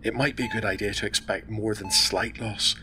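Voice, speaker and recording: A man playing a calm, measured hospital doctor in a radio drama, professional, reassuring and deliberate. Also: lowish voice, noisy background, close to an everyday voice. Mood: measured, grave.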